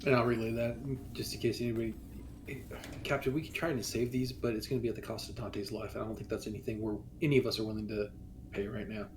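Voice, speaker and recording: A man's voice: lowish voice, level tone, fast, a little mellow, slight background noise. A man playing a tabletop roleplaying game, asking questions with hesitant restarts and speaking in character. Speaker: player.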